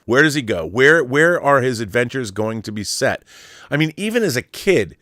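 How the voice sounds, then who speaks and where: A man's voice: medium pitch, sing-song, moderate pace, pushed and bright, dry recording, clean studio sound. A man hosting a live show in casual chat, rambling with frequent restarts and chuckles. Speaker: host.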